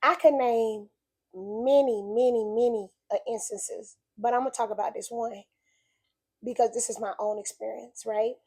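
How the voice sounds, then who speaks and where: A woman's voice: on the high side, steady tone, moderate pace, a little mellow, breathy edge. A woman, sharing an earnest, preachy faith message in casual talk. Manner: earnest, preachy, conversational with emphatic repetition. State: slightly raspy voice from illness. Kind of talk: casual spoken reflection.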